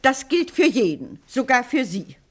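An imperious old lady in a radio drama. She speaks at a middling pitch, with precise diction. She is unsentimental, argumentative and used to being obeyed. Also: sing-song, measured pace. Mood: indignant, firm. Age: older. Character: strong-willed, sharp-witted old woman, bossy and unsentimental. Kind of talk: German radio play acting.

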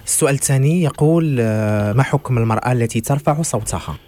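Fast-talking Moroccan male radio host. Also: medium pitch, darkish tone, dry recording. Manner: brisk, clarifying, rephrases questions. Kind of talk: hosting a call-in religious program.

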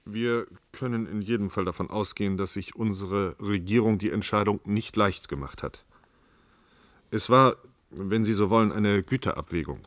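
A man's voice: lowish voice, normal speed, a bit bright, no echo, lightly acted. A man playing an officious, stubborn government official, formal and pedantic, in a German radio play. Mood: measured, justifying.

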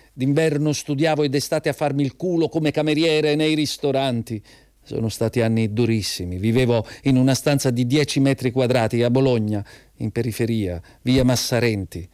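An actor playing a proud, aggrieved unemployed graduate, earnest and defensive, in a radio drama. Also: male voice, medium pitch, darkish tone, dry recording, clean studio sound, natural voice. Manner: earnest, defensive, piles up grievances. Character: proud, aggrieved unemployed male graduate pleading his worth.

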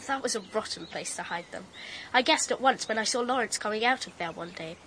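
A woman's voice: mid-range voice, brisk, a bit bright, a little hiss or hum, natural voice. An actress playing a precocious, superior young British girl who fancies herself a detective, in a radio drama. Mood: smug, superior. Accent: British English. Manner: bossy, superior.

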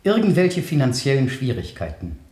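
An actor playing an official, insistent public prosecutor in a radio courtroom drama, speaking formally and emphatically. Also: male voice, medium pitch, huge pitch swings, moderate pace, a little mellow. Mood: probing, formal. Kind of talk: 1970 German radio drama acting, courtroom questioning. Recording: roomy vintage radio studio sound.

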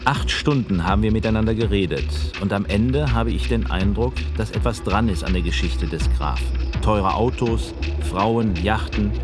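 A man narrating a documentary as the reporter, telling the story in a measured, ironic way over music. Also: on the low side.

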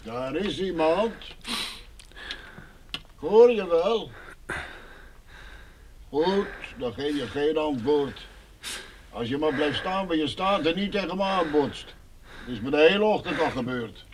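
A man's voice: on the high side, lively intonation, slow pace, long pauses, background noise. An actor playing a blunt, self-reliant, sardonic blind older man in a radio drama. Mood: wary, irritable. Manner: grumbling, blunt.

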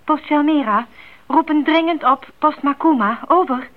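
A woman's voice: sits high, normal speed. A woman playing a caring, anxious radio operator at an outpost in a radio play, speaking earnestly in procedure talk. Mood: concerned, efficient. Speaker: radio drama actor. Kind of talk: radio drama acting. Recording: tinny, phone-like radio drama sound.

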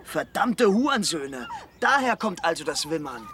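Angry young farmer with wildly swinging pitch. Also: big pitch swings, a little slow, background noise. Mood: alarmed, angry. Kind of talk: radio drama dialogue.

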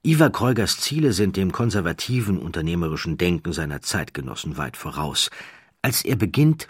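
Deep-voiced male narrator, dry close sound. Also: slightly rough, no room sound. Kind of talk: scripted documentary narration.

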